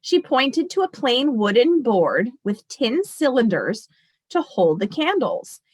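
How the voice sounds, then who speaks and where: A woman's voice: fairly high pitch, big pitch swings, slow pace, husky. A woman teacher reading a picture book aloud expressively and explaining it to children as she goes.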